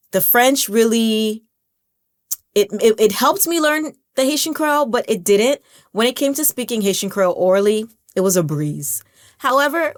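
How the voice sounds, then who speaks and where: A young American woman vlogger talking to camera in a casual, encouraging way, often repeating words as she restarts. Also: mid-range voice. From United States. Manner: conversational, stumbles and repeats words, laughs now and then. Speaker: language vlogger.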